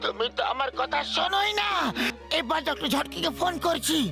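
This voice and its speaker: A skeptical cartoon sidekick, with an extremely high and lively voice. He scolds and cautions like the sensible one of the pair. There is music behind him. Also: brisk, creaky, full character voice. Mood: scolding, complaining. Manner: nagging, cautioning. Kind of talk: cartoon dub acting.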